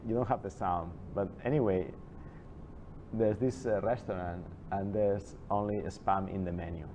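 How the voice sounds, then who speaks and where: A man giving an informal classroom lecture, chatty and digressive, with many halting restarts and asides. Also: low-pitched, fairly even intonation, warm tone, a little hiss or hum. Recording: dull lo-fi classroom recording.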